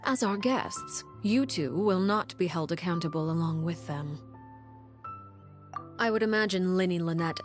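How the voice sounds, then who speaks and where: A woman performs a composed, menacing matriarch from a video game. Her voice is fairly low and smooth, with a formal, measured delivery that carries quiet threat. Music plays softly behind her. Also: close to an everyday voice. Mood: cold, composed. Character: cold, menacing female leader of a secretive orphan house.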